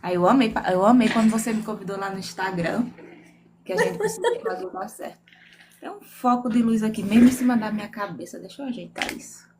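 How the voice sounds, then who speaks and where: A woman with a Northeastern Brazilian accent chats in a teasing, easygoing way over a video call. Her voice sits at a middle pitch, and she talks from a room at home. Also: expressive, a little fast, slight background noise. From Northeast Brazil, Mossoró. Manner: chatty, teasing, playful. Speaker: guest author. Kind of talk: casual chat on a live video call. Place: home room with air conditioning.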